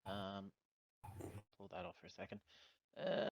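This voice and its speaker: A man playing a tabletop game, chatting casually and bluntly, thinking his moves through out loud. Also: low-pitched, measured pace, creaky, no room sound. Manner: blunt, expressive, thinking aloud. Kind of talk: casual gaming chat.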